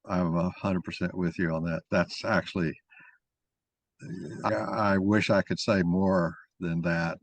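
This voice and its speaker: A man with a very deep voice leads an informal discussion. He rambles and halts as he thinks aloud. The sound is very dry, with no room around it. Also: fairly even intonation, a little slow, dry recording. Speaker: moderator of an online mock trial.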